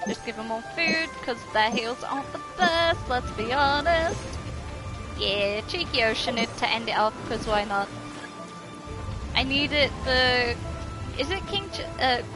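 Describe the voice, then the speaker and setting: A woman's voice: high voice, animated, measured pace, rough recording. A woman livestreamer giving casual, playful gaming commentary in a chatty, doting way. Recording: game music behind the voice. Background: video game music.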